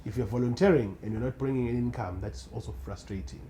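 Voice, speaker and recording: A young man with a South African accent, a radio producer chatting casually as a podcast guest, animated and quick to laugh, often restarting his sentences. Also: mid-range voice, slight background noise. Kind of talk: casual interview chat.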